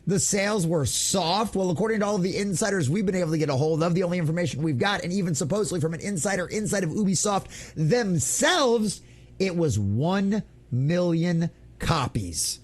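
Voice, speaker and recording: A man's voice: high-pitched, animated, fairly quick. A man hosting an online gaming news show, giving opinionated commentary in an emphatic, theatrical, mocking way.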